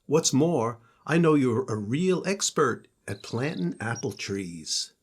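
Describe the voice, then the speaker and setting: A man's voice: medium pitch, expressive, slow, a little hiss or hum. A man voicing a tall, commanding pioneer guardian angel in a storybook, with a hearty, folksy, urging manner.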